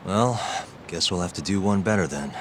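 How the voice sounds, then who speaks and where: An actor playing a composed, confident lawyer turned private detective in a video game. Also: male voice, deep voice, moderate pace, a little hiss or hum, lightly acted. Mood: focused. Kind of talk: English video game voice acting.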